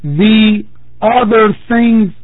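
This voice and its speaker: High husky-voiced man preaching. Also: no echo. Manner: measured, repeating phrases for emphasis. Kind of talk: religious talk to a congregation. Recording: tinny phone-like, dry sound.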